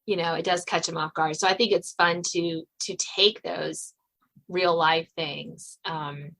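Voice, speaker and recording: An American woman hosting a casual interview about writing, talking in an animated, conversational way. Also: fairly low pitch, measured pace, vocal fry. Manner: animated, conversational, quotes others' reactions in dialogue. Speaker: podcast host and suspense novelist. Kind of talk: casual author interview chat.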